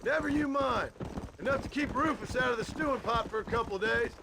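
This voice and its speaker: Over clear outdoor animal noise, a gruff American rancher father from a western video game. He is patient and folksy, a man with dry humor who teaches his boy. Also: very high voice, brisk. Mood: wry, warm. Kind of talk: video game dramatic acting.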